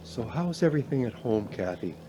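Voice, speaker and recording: Actor as a gentle middle-aged professor. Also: male voice, mid-range voice, big pitch swings, a little fast, noisy background, lightly acted. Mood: friendly, curious. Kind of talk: radio play acting.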